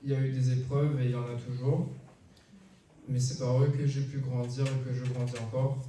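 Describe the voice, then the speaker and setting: A man's voice: mid-range voice, little pitch movement, brisk, mellow, a little hiss or hum. A man in his thirties from Réunion, reading his baptism testimony in a church. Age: 30s. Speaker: baptism candidate. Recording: echoey church hall from far off.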